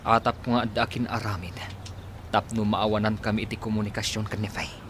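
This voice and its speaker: A man's voice: lowish voice, flat delivery, fairly quick, a bit bright, slight background noise, natural voice. An actor playing an earnest, heartbroken young man with an Ilocano accent in a Filipino radio drama. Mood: shocked, hurt.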